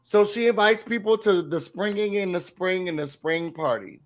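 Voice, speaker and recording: A slightly hoarse man hosting a casual TV recap chat, telling the story animatedly and acting out quotes. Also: sits high, normal speed. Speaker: recap show host.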